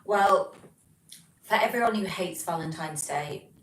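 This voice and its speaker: Low-voiced British woman chatting on stream. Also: unhurried. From Britain. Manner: chatty, rambling, many ums. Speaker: livestream host reading chat. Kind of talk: casual chat. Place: home, flat. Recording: echoey room, distant mic, faint noise.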